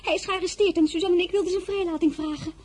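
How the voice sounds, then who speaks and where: Flustered, high-voiced teenage girl. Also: fairly even intonation, quick pace, a little mellow. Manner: flustered, stammering, repeating words. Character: naive, excitable teenage merchant's daughter, eager to seem grown up. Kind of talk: radio drama acting.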